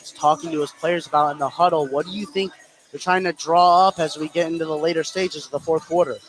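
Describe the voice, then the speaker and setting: A man doing live play-by-play basketball commentary on the radio, raising his voice into excited shouts on big plays. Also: fairly high pitch, quick pace. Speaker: play-by-play announcer. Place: indoor school basketball gym, live courtside. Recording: noisy live gym broadcast.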